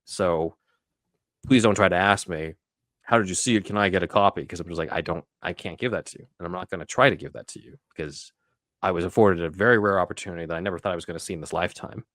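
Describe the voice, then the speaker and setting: A man with a low-pitched voice hosts a casual film discussion. He speaks in an emphatic, conversational way. The sound is dry, with very little room in it. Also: fast, fairly bright tone, no room sound. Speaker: podcast host.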